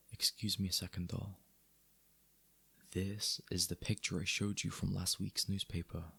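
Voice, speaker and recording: A man playing a wry, gentlemanly mafia boss in an intimate ASMR audio roleplay, with a husky, gravelly low voice and a dry, sardonic, conversational manner. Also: dry recording, heavily acted. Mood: exasperated, stern. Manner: dry, conversational, sardonic, addressing listener as doll.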